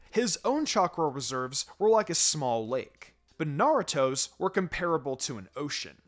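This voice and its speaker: A man reading a story aloud as a narrator, in a scripted storytelling style. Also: high-pitched. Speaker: fan fiction reader. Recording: music behind the voice.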